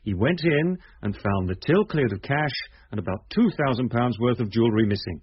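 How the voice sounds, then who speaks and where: An actor playing a calm, methodical British detective inspector in a radio drama, speaking with measured, matter-of-fact authority. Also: male voice, mid-range voice, husky. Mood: grave, methodical. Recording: dull, lo-fi old radio recording.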